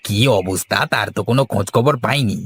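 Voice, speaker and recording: A man's voice: fairly low pitch, fast talker, a little hiss or hum, natural voice. A man voicing a landlord's field overseer in a cartoon folk tale. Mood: deferential. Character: landlord's field overseer, deferential upward, bossy downward.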